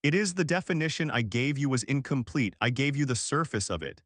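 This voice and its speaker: A man voicing a male alien ambassador who speaks in precise, formal, measured sentences. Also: mid-range voice, lively intonation, no room sound, clean studio sound, lightly acted. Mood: earnest. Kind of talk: scripted audiobook narration.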